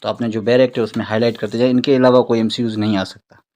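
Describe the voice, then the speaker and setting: A man with a Pakistani accent giving an informal recorded lecture as an exam-prep teacher, explaining point by point, repeating key words and reading English terms out haltingly. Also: mid-range voice, level tone, dark tone.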